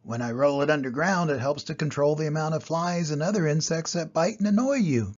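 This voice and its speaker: A man's voice: fairly high pitch, a little fast, a little mellow, lo-fi sound, close to an everyday voice. A grandfather voicing an earnest little dung beetle. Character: busy cheerful male dung beetle.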